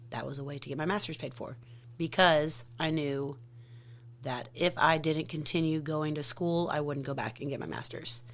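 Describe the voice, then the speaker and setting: A woman in her thirties, a softball coach, chatting casually and self-deprecatingly in a podcast interview. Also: deep voice. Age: 30s.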